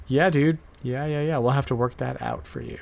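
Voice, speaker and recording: Male podcast host, mid-pitched, informal and conversational, with a halting, word-repeating delivery. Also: gravelly, a little mellow. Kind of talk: casual chat about retro game news.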